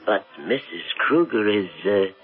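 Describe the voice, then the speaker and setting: A rich man's butler in a vintage radio play. He is deferential, formal and uneasily halting, with a husky voice. The recording is narrow and muffled. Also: lowish voice, expressive. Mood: flustered, uneasy. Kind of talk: old-time radio drama acting. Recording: muffled old radio broadcast with music.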